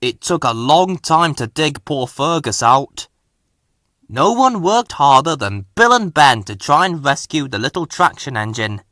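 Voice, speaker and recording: A man reading a scripted children's story aloud with expressive, dramatic narration. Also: fairly high pitch, measured pace, no echo, studio quality. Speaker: storybook reader.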